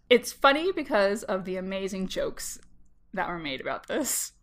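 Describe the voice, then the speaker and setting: A woman co-hosting a podcast while she has a cold, talking casually with vocal fry and laughing often. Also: mid-range voice, sing-song, measured pace, a bit bright. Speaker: podcast co-host. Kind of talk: casual chat.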